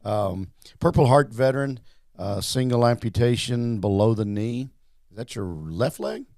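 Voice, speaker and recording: A man's voice: medium pitch, measured pace, darkish tone, no room sound, studio quality. A man hosting a casual interview, rambling and repeating words in a friendly way. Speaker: host.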